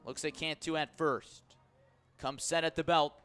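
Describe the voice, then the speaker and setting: A man calling a baseball game live on radio as play-by-play announcer, measured between pitches and lifting his voice on the action. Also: clear, resonant tone, faint music behind. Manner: measured narration rising to excited shouts on key plays. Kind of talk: live sports commentary.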